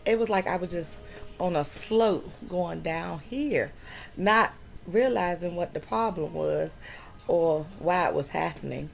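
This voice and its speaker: A middle-aged woman talks to her channel from home in a slow, unhurried way, often pausing with fillers. Her voice sits a little low, with a gravelly, creaky edge. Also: soft background music. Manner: slow, drawn-out storytelling with many fillers. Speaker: vlogger. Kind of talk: personal health story vlog.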